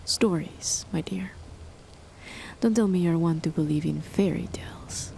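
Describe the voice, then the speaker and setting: A woman's voice: deep voice, vocal fry, exaggerated voice. A woman voicing a cold, controlling chemist wife. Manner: soft, measured, condescending, often whispered. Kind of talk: ASMR horror roleplay. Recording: noisy with rain behind. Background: steady rain.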